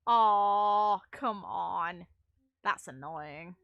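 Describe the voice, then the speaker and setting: A woman's voice: on the low side, drawn-out words, vocal fry, dry recording, muffled recording. A woman chatting casually as she streams a video game, rambling in a wry, mildly sweary way. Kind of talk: casual live stream chat while playing a video game. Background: game music.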